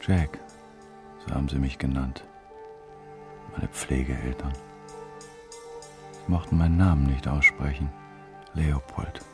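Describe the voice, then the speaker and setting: An actor playing an elderly emigrant in a radio drama monologue, brooding over a wartime childhood in broken phrases. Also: male voice, very deep voice, fairly even intonation, slow, long pauses, music in the background. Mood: bitter, brooding. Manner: fragmented, brooding recollection. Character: old male emigrant recalling a beaten wartime childhood.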